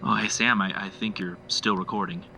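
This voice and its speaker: Low, creaky friendly colleague. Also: male voice, fairly even intonation, normal speed, bright, forceful tone, soft background music, heavily acted, low-quality recording. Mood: friendly, awkward. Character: friendly casual male police colleague. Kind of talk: audio drama dialogue. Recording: clear background noise.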